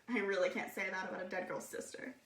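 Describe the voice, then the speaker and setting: A woman's voice: medium pitch, fairly even intonation, a little fast, a bit bright, natural voice. An actress playing a smug, self-absorbed teenage girl in a stage drama letter monologue. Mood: smug, gushing. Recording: echoey room.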